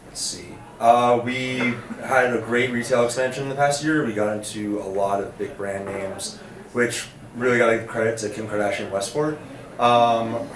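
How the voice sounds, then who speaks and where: A man speaking casually and upbeat as a panelist, with many fillers. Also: on the low side. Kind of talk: panel discussion. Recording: echoey room, faint noise.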